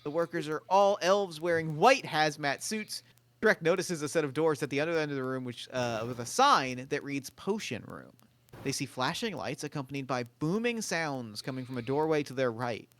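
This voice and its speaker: A man's voice: a little high, lively intonation, normal speed, fairly bright tone. A man reading scripted stage directions as the narrator of a table read.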